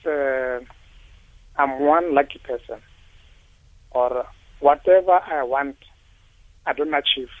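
Male caller, slightly high voice, halting, phone-line sound. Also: dry recording. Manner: halting, searches for words. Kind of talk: call-in question on the phone.

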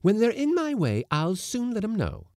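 A man voicing an imposing, defiant woman ship's cook in a storybook, loud, bossy and scornful. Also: sits high, huge pitch swings, normal speed, no echo, studio quality, pitched above the performer's own voice. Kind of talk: storybook character voice.

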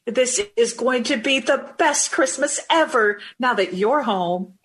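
A woman's voice: on the high side, expressive, unhurried, fairly bright tone, breathy edge, full character voice. An actress playing an affectionate, earnest 1950s mother and wife in an old-time radio drama. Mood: relieved, tender. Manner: tender, earnest.

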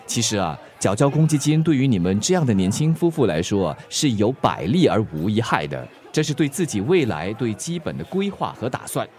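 Lively-toned, sensible male adviser played by an actor. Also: mid-range voice, slight background noise, close to an everyday voice. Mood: reassuring, earnest. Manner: measured, explanatory. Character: sensible, reassuring male adviser to young couple. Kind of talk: radio drama acting.

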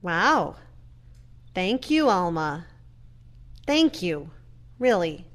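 A woman playing a gentle, earnest daughter in an audio play, measured and reflective. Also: fairly low pitch, big pitch swings, exaggerated voice. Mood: moved, grateful. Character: gentle, earnest daughter visiting her mother in care.

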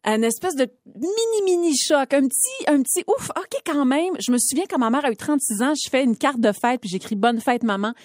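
A woman in her thirties with a Québécois accent, reflecting warmly on air as a radio co-host. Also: high-pitched, lively intonation, brisk, few pauses, dry recording, clean studio sound. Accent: Québécois French. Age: 30s. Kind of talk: casual radio chat.

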